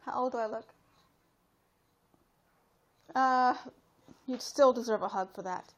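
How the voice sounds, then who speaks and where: A woman's voice: on the high side, a little fast. A woman in her late thirties chatting casually on a live stream, rambling and talking straight to her viewers with lots of fillers. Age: 30s. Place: at home.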